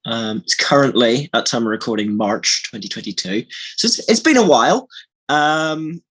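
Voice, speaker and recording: A man's voice: medium pitch, expressive, moderate pace, a bit bright, husky. A man chatting casually on a podcast as a voice actor, bantering and often repeating words as sentences form. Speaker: voice actor on a behind-the-scenes podcast.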